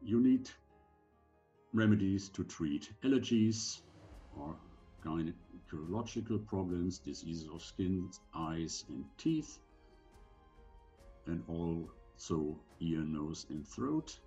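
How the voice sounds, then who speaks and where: Older guy, low mellow voice, reading thru a training presentation in careful short chunks, bit of a dull webcam sound. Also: lively intonation, slow pace, faint music behind. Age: older, around 70. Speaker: presenter giving medical advice for sailors. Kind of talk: slide presentation lecture.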